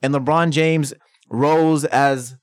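A man's voice: on the high side, steady tone, slow pace, no room sound, clean studio sound. A man from New York, a guest on a sports podcast, telling sports stories with emphatic energy. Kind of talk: casual sports chat.

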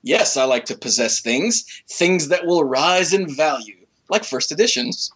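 Man with a bright, husky voice. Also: fairly high pitch, animated. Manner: halting reading, stumbling over words. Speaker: podcast co-host reading aloud. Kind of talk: comic dramatic reading.

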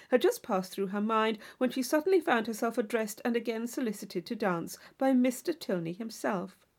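A woman reading an audiobook of classic fiction as an expressive, formal storyteller. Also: fairly high pitch. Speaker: audiobook reader. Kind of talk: scripted literary narration.

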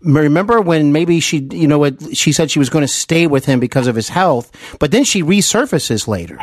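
A man's voice: fairly high pitch, expressive, a little fast, dry recording. Male podcast interviewer, close dry sound. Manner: admiring, long-winded, meandering. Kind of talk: conversational interview.

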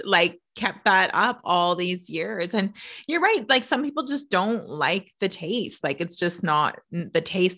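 A woman's voice: fairly low pitch, bright, forceful tone. A woman hosting a podcast, chatting casually with a guest, laughing often and filling her talk with "like". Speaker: podcast host, trainer.

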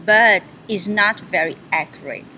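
A woman's voice: medium pitch, unhurried, sharp tone, slight background noise, poor audio. A woman whose first language is Portuguese, chatting as a podcast guest, laughing often and searching for English words. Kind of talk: casual podcast chat.

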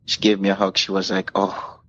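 A man's voice: low voice, completely flat delivery, brisk, a bit bright. A man, a DJ guest telling casual anecdotes in an interview and acting out the dialogue. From Caribbean. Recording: muffled, clearly noisy room recording.

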